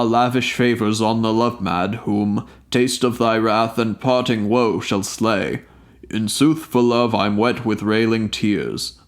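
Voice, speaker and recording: A man voicing a lovesick male ifrit spirit, speaking earnestly and formally in a storybook reading. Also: fairly low pitch. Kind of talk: storybook reading of an old tale.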